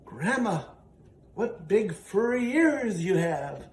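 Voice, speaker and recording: A man voicing an innocent, wide-eyed little storybook girl, slow, hesitant and wondering. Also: sits high, big pitch swings, exaggerated voice. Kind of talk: storybook character voice. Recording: roomy, distant, faintly noisy.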